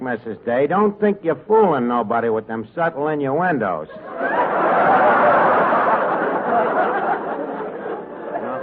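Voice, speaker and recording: An actor playing a brash, scheming tavern manager in a vintage radio comedy, mock-polite, with mangled grammar. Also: male voice, a little high, natural voice. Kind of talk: 1940s radio comedy acting. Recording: vintage radio, narrow band, audience and hiss.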